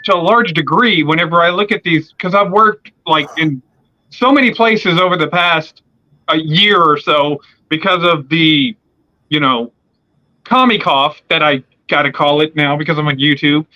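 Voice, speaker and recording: A man's voice: on the high side, normal speed, pushed and bright, lo-fi sound. A man in his forties hosting a casual conversation, rambling with lots of fillers and restarts. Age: 40s. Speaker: podcast host.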